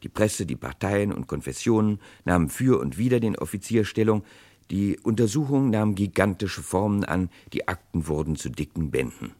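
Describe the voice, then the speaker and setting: An actor narrating a 1960s radio crime play as a grave chronicler, with dramatic, deliberate delivery. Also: male voice, deep voice, big pitch swings, mellow, heavily acted. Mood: grave, suspenseful. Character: grave male chronicler of a historic murder case.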